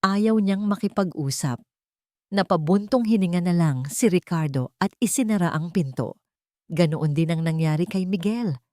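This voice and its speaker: A woman's voice: fairly low pitch, expressive, normal speed, a little mellow, dry recording. A woman reading a dramatic scripted story as narrator.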